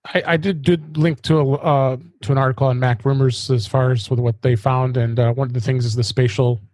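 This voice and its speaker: A man hosting a casual tech podcast, halting and often restarting his phrases. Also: medium pitch, little pitch movement, a little mellow, no echo. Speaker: podcast host.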